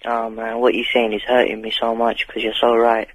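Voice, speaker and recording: A man's voice: medium pitch, monotone, a little fast, dry recording. A fifteen-year-old male caller with a London accent, talking candidly in emphatic slang and repeating his phrases, on a radio phone-in. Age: 15, teenager. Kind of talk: candid informal conversation. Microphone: telephone. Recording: phone call on talk radio.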